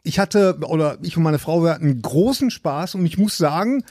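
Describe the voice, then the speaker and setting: Male co-host, slightly high voice, dry recording, casual and a little hesitant. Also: expressive, moderate pace, darkish tone, no echo. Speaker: podcast co-host. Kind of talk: casual chat, personal anecdotes.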